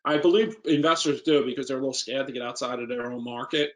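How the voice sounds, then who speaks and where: A man's voice: medium pitch, fast. A man with a New York accent, an investor speaking informally as a guest and answering questions in an emphatic, plain-spoken way.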